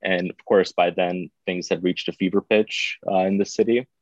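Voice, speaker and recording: A man in his thirties with a very low voice, speaking as a guest in a casual interview. He talks at an unhurried pace and fills his pauses with frequent filler sounds. The recording is dry and somewhat dull. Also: steady tone, dry recording. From born in Baku, raised in the United States. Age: 30s. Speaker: podcast guest.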